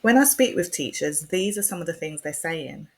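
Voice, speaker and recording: A woman, a speech and language therapist, giving a measured, scripted presentation that explains as it goes. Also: on the low side, lively intonation, low-quality recording.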